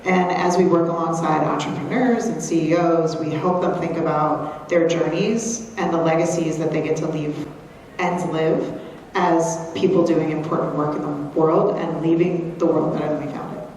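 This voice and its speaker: A woman's voice: deep voice, fairly even intonation, moderate pace, darkish tone, a little hiss or hum. A woman introducing herself on a panel, earnest and reflective, recorded far off in an echoey hall. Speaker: founder and panelist. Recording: roomy hall sound from far off.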